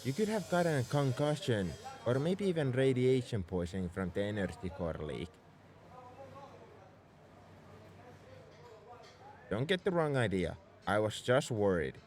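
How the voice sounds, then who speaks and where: Male actor as tsundere spaceship mech engineer for roleplay audio: lively, exasperated, scolding, secretly caring, mid-pitched, slight noise behind. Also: moderate pace, few pauses, close to an everyday voice. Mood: grudging concern. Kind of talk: ASMR roleplay audio drama.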